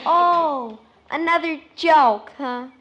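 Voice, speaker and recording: An actress playing an earnest, literal-minded little daughter in a 1950s radio sitcom. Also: female voice, high voice, very slow, fairly bright tone, muffled recording, some chatter behind, full character voice. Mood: innocent. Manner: innocent, literal. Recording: noisy old radio broadcast recording.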